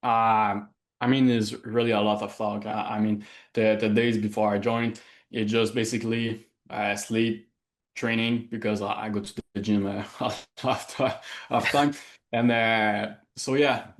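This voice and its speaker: A man from Quebec with a Quebec French accent, a native French speaker, answering interview questions in English and often pausing to search for words. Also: fairly low pitch, flat delivery. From Quebec, Canada. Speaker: interview guest. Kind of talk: casual interview.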